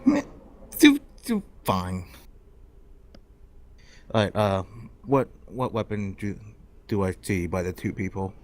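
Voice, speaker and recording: A man's voice: deep voice, wildly swooping pitch, slow pace, pauses often, darkish tone. A man playing a role-playing game, casually stating his actions and hesitantly thinking aloud.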